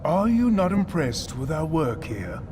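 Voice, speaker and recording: An actor playing a pompous, scheming alien prophet in a video game cutscene, speaking in a slow, grandiose monologue. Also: male voice, fairly high pitch, sing-song. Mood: condescending. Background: music score.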